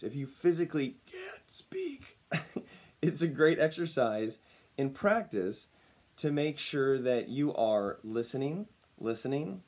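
A man in his thirties, slightly raspy as he recovers from losing his voice, talking upbeat and smiling to a webcam at home. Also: medium pitch, a little mellow. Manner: upbeat, smiling, chuckles often. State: recovering from lost voice. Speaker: personal branding speaker. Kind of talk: casual talk to camera. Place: home room, indoors. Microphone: webcam at desk distance.

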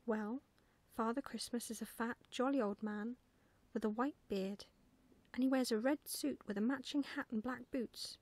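Woman as a confident, high-voiced little girl. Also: normal speed, a little mellow, dry recording, close to an everyday voice. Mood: matter-of-fact. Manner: emphatic, matter-of-fact explaining. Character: confident little girl, proud, bossy know-it-all.

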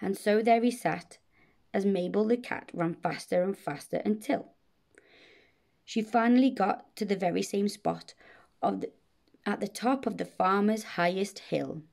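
A woman reading a rhyming children's bedtime story in a measured, expressive way. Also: mid-range voice, gravelly. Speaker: storybook reader.

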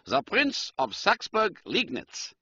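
A man's voice: sits high, wildly swooping pitch, slow pace, pushed and bright, breathy edge, highs cut off, voice pushed up, full character voice. A man voicing a stiff, ceremonious German count with a florid, formal way of speaking in a storybook reading. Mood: courtly.

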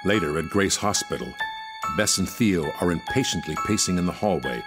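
A man with a low voice narrates a theatrical audio drama. He reads scripted stage directions, with prominent instrumental music playing underneath. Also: expressive, normal speed. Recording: loud music bed under the voice. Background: loud instrumental music.

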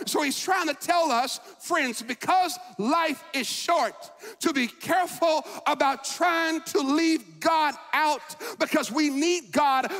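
A man in his forties preaches from the pulpit with a high, ringing voice. He swings from loud, shouted declarations down to quiet, reflective talk and repeats words and phrases for emphasis. The sound carries the long reverb of a large church sanctuary. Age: 40s. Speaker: pastor. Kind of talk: sermon.

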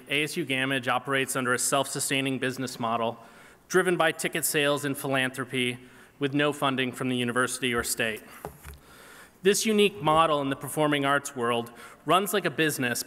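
Bright-voiced man speaking at a podium. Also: medium pitch. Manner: earnest, measured delivery from a podium. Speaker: award recipient giving acceptance speech. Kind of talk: prepared acceptance speech. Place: large banquet hall stage. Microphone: podium microphone. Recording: reverberant hall PA sound.

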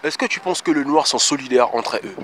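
A man's voice: medium pitch, expressive, a little fast, fairly bright tone, a little hiss or hum, rough recording. A young man doing a street interview outdoors, asking passersby questions into a handheld phone, with a few hesitant fillers. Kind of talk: street vox pop interview. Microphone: handheld phone held out.

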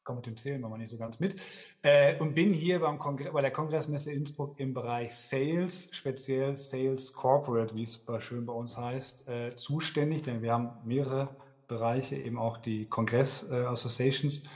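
A man's voice: mid-range voice, fairly even intonation, normal speed, darkish tone. A man from the Ruhr area, a sales manager as podcast guest, answering in a friendly, rambling way with many fillers. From Germany (Dortmund, Ruhr area). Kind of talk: conversational interview answer.

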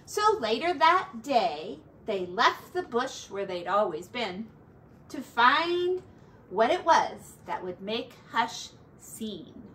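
A woman reads a children's story aloud like a teacher, in a high and unhurried voice. She leans into dramatic words and adds small asides for her listeners. She sounds a little way from the mic. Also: very animated, a bit bright. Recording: slightly distant mic, faint background noise.

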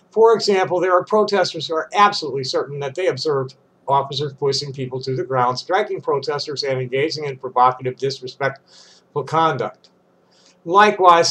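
A man's voice: a little high, rough recording. An older man with a congested voice, reading a statement aloud and adding casual asides. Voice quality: congested, stuffy. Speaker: vlogger. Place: small cluttered room indoors.

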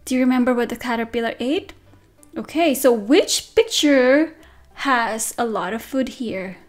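A woman teaching a circle-time lesson to toddlers, speaking in a slow, sing-song, encouraging way and gasping with praise. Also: a little high. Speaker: preschool teacher.

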